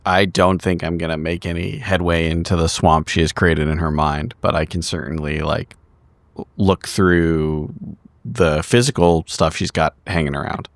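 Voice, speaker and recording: A man's voice: deep voice, fairly even intonation, moderate pace, no echo. A man casually roleplaying as a player in a tabletop game, speaking tentatively.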